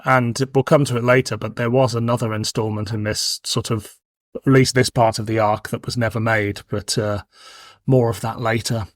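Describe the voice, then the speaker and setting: A man's voice: medium pitch, little pitch movement, moderate pace, no echo. Male podcast host, casual chat, discursive and reflective, very dry close recording. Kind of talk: casual chat about audio dramas.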